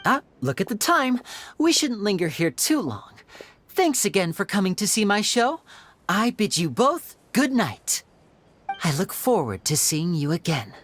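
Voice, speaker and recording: A suave young stage magician. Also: male voice, high-pitched, big pitch swings, measured pace, heavily acted. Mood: teasing. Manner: theatrical, playful showman, soft reflective narration. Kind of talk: video game voice acting. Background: game music.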